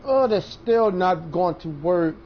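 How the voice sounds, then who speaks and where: A man's voice: high-pitched, expressive, slow, slight background noise. A man giving casual gameplay commentary, muttering and counting aloud to himself. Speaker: gamer. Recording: dull game-stream audio with music behind. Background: clear game music.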